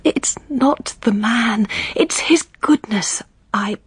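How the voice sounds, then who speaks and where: An actress playing an earnest, reserved young English governess in a period drama, speaking in a restrained, formal, principled way. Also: female voice, fairly high pitch, slow pace, slightly rough, no room sound, heavily acted. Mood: hushed, yearning. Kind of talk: period radio drama acting.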